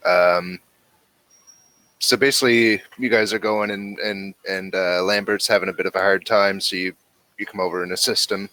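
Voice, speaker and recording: A man narrating a tabletop role-playing game as game master, halting as he searches for words. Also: deep voice, fairly even intonation, sharp tone, poor audio.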